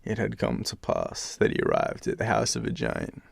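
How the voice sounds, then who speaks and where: An Australian man reading a bedtime fairytale in an informal, relaxed way as a storyteller. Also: a bass voice, moderate pace, gravelly, slightly rough, dry recording, studio quality.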